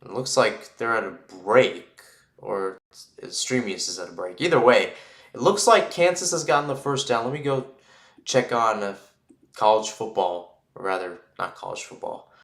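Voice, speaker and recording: Man, live football play-by-play, informal and self-correcting, lively swings in pitch, echoey room. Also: moderate pace, vocal fry. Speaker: play-by-play sports commentator. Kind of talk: live football radio-style commentary.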